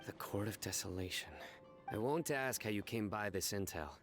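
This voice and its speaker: A man playing a stern, solemn young investigator in a video game, speaking in a grave, measured, formal way. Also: on the low side, faint music behind, lightly acted. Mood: stern, serious.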